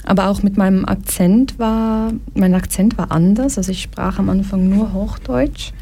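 A young woman from Brazil, a radio guest in a studio, telling her story in a calm, measured way. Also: mid-range voice, a little hiss or hum. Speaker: guest, association president. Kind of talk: radio interview.

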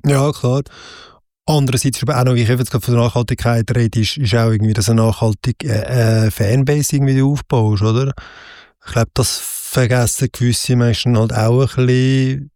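A man's voice: mid-range voice, steady tone, moderate pace, mellow, no echo, clean studio sound. Chatty Swiss German male interviewer. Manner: chatty, ends points with "oder?". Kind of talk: casual interview.

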